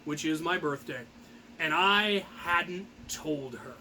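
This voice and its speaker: A man with a high-pitched, bright voice spins a personal story. His delivery is animated and theatrical, with a grandiose flair. There is faint noise behind him. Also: slow, poor audio. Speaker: storyteller and host.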